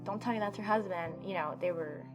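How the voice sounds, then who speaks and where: A woman's voice: lowish voice, fairly quick, music in the background, low-quality recording. A woman hosting a let's play, reading a visual novel aloud and adding informal, reactive asides.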